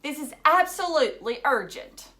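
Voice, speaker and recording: A woman's voice: sits high, animated, moderate pace, pushed and bright, pitched above the performer's own voice. Woman reading as an urgent, insistent young schoolgirl.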